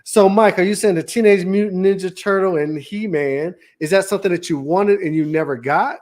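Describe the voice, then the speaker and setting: A man's voice: high voice, darkish tone. Easygoing fifty-something man hosting a chat. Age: 50s. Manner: easygoing, joking, drawn-out storytelling. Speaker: podcast co-host. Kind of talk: casual chat.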